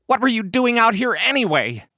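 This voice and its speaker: A man plays a protective tom turkey from an animal storybook, exasperated and urgent. The voice is bright and forceful, swinging widely in pitch as it scolds and insists. The sound is dry and close. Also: huge pitch swings, moderate pace, no echo, exaggerated voice. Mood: scolding, upset. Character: protective, exasperated male turkey, wary older-brother type.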